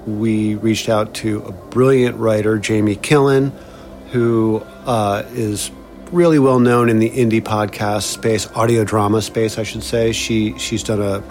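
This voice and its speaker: Male podcast host, lowish voice, casual intro delivery, music behind. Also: darkish tone.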